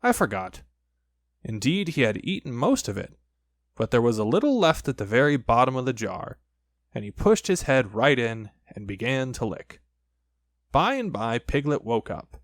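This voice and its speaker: A man narrating a children's story from a script, in an expressive, measured storytelling way. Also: mid-range voice, no echo, clean studio sound. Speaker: audiobook narrator.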